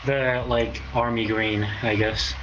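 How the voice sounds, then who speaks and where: A man's voice: mid-range voice, level tone, slow pace, lo-fi sound, noisy background. A man in his twenties from Portugal with a Portuguese accent, a guest in a casual interview, hesitant and full of fillers. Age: 20s. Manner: hesitant, many fillers and restarts.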